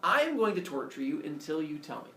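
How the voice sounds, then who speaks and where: A short-tempered king of gods as a male storyteller plays him, demanding and exclamatory. The voice jumps up high and swings about. It sounds slightly distant in a roomy space. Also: very animated, brisk, pushed and bright. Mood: scheming, threatening. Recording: roomy, distant mic, faint noise.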